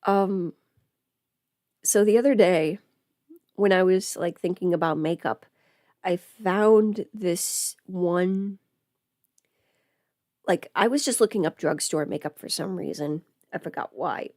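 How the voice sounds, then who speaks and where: A woman's voice: on the low side, takes it slowly, long pauses, a little mellow, dry recording, studio quality. A woman livestreamer chatting casually while getting ready, rambling and interrupting herself as she thinks aloud.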